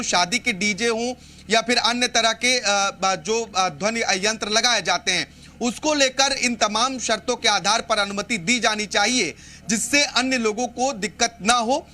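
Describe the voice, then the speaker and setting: An Indian man giving a live news report in a formal, explanatory way, with halting restarts. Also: high voice, sharp tone.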